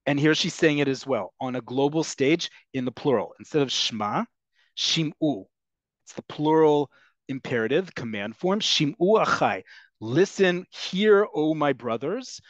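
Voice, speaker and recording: A man's voice: on the high side, dry recording. Earnest man teaching on a call. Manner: earnest explaining with frequent ums. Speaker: Hebrew teacher. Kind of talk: online class lecture. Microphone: video call.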